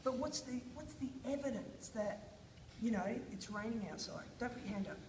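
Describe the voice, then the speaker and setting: Australian woman teacher, slightly high voice, coaxing questions, echoey classroom with noise behind. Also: lively intonation, normal speed. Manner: questioning, prompting, encouraging. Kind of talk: guided reading lesson with children.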